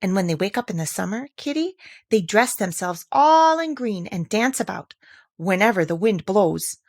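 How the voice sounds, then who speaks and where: A woman voicing a chatty, imaginative little girl in a storybook, animated and mock-stern as she talks to her kitten. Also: mid-range voice, normal speed, no echo, studio quality. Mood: dreamy, tender. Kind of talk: storybook character voice.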